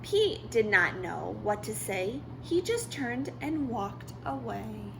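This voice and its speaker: A woman reads a children's story aloud in a fairly high voice. She goes slowly and expressively, and there is faint noise in the recording. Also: big pitch swings, vocal fry. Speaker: story reader. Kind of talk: children's picture book read-aloud.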